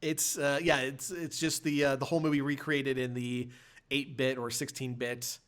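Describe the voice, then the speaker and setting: Man chatting casually about film, halting delivery. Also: medium pitch, little pitch movement, fairly bright tone, smooth. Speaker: podcast host. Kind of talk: casual film review chat.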